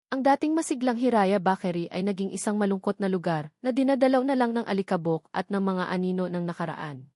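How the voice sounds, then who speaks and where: A woman reading a scripted, dramatic family love story as narrator, pausing between short phrases for effect. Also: mid-range voice, expressive, dark tone, dry recording, clean studio sound.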